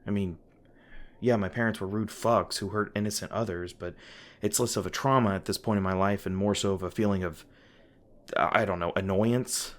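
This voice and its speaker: A man playing a weary, guarded monster hunter in an audio roleplay, gruff but caring, with halting, sardonic, sweary speech. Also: lowish voice, fairly even intonation, a bit bright, natural voice. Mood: defensive, annoyed. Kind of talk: audio roleplay, one-sided dialogue to the listener. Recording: noisy ambient sound effects behind voice. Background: ambient sound effects.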